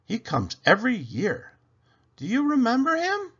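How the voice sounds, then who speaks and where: A man's voice: very high-pitched, wildly swooping pitch, a little slow, a bit bright, slightly rough, muffled recording, voice pushed up, heavily acted. A man voicing a busy, instructing lady of the house in an emphatic storybook style. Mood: reassuring.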